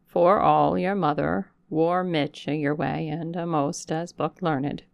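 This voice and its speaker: A woman voicing a blunt, suspicious old female servant who speaks in a broad with a gruff, distrustful manner. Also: low voice, fairly even intonation, slow, no echo, heavily acted. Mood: fond, mournful. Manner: gruff, distrustful, plain-spoken.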